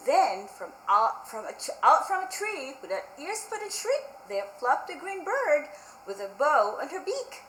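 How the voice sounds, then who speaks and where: A woman's voice: high-pitched, very animated, unhurried, fairly bright tone, a little hiss or hum. A woman hosting a storytime for young children and reading aloud to them with simple, repetitive prompting. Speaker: library storytime host. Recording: roomy echo with faint music.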